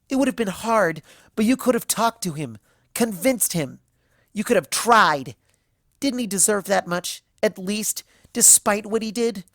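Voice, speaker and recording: Storybook unicorn student, performed by a narrator: young female, raised pitch, cutting, accusing, righteous and sarcastic. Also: dry recording, clean studio sound. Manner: sharp, cutting, rhetorical questioning.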